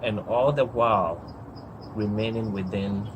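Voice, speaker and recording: A man's voice: on the low side, fairly even intonation, a little slow. A man in his forties from Ghana, telling his personal story in an interview in a reflective, hesitant way with frequent ums. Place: outdoors. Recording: outdoor noise with passing airplanes.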